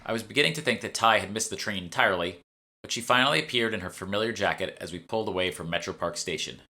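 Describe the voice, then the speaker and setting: A man's voice: fairly low pitch, fast talker, sharp tone. A man reading a first-person audiobook narration from a script. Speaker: author reading own audiobook.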